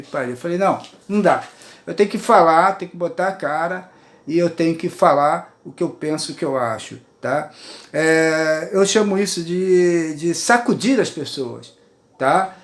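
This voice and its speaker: A Brazilian man in his sixties speaks in a fairly high voice, earnest and a little rambling, as he shares his views from home. He keeps turning to his listeners to check they are following. The sound is roomy, with the echo of a bare room at home. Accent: Brazilian Portuguese. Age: 60s. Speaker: poet and writer sharing views. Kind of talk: earnest personal monologue to camera. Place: his apartment.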